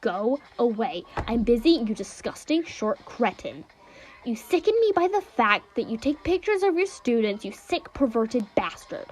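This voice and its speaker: A woman's voice: fairly high pitch, sing-song, normal speed, rough recording. A woman doing a cold, scornful young man. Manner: curt and scornful. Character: cold, contemptuous, hot-headed young man.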